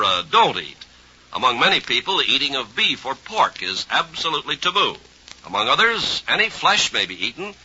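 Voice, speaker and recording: Casting: male 1950s radio host, scripted public address, animated, sharp and husky, on a muffled old record. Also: mid-range voice. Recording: dull old vinyl radio transfer.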